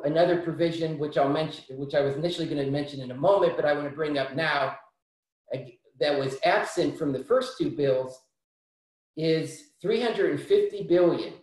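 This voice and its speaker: A man's voice: on the high side, darkish tone. A middle-aged man briefing a virtual town hall on school funding over a video call, explaining carefully with fillers and restarts. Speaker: policy speaker. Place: home room, indoors. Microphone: webcam on a video call. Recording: very echoey room.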